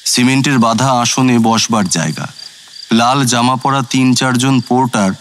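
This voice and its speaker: A man's voice: mid-range voice, moderate pace, a bit bright, slight background noise, natural voice. A male actor playing a former judicial officer who narrates his night journey as a scripted, literary first-person audio story. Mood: reflective.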